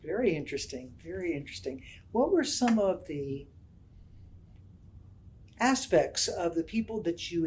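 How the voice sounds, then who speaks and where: A woman host asks her questions at an unhurried pace, choosing her words with care. Her tone is measured and deliberate. A light background noise runs under her voice. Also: low-pitched, darkish tone, rough recording. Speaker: podcast host. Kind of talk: interview questions.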